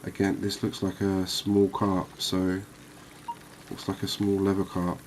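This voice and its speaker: A man giving quiet, cozy ASMR commentary on a game, unhurried and thinking aloud. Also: deep voice, monotone, slight background noise, rough recording. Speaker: gaming video host.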